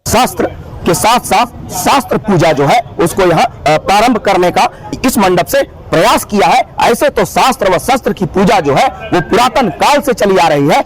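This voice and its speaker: A man's voice: high voice, expressive, moderate pace, low-quality recording. A man giving an earnest explanation of a religious ritual. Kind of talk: interview soundbite.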